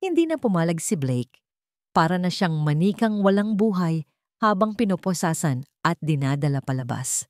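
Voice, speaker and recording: Female storyteller for dramatic fiction, slightly low voice, close dry recording. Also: very animated, normal speed, no echo. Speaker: storyteller, narrator. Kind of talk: dramatic scripted story narration.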